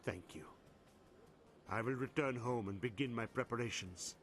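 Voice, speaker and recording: A man's voice: mid-range voice, moderate pace, lightly acted. An actor playing a grieving man with an Indian accent. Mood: sad, formal. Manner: quiet, formal, subdued. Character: grieving soft-spoken male colonist husband.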